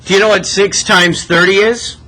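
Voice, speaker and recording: A man with a rather high, bright voice works as a math teacher, tutoring step by step. He keeps it conversational, putting short checking questions to the learner and often tagging on a "right?". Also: steady tone, normal speed, low-quality recording.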